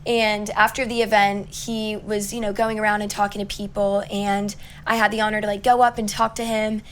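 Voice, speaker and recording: A young woman with a raspy voice, a singer-songwriter chatting upbeat as an interview guest, full of likes and ums. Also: medium pitch, little pitch movement, moderate pace, slight background noise. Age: young adult. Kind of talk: casual interview chat.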